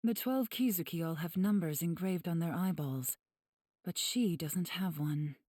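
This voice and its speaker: A woman voicing a calm, gentle, refined demon healer in an anime game, soft and breathy, speaking in a measured, formal way. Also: lowish voice, no room sound. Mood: solemn, calm.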